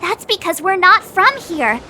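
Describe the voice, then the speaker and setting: A woman's voice: extremely high pitch, animated, a little slow, pushed and bright, a little hiss or hum, exaggerated voice. A woman voicing a perky, impatient teenage girl adventurer in a game cutscene, eager and blunt. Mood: insistent.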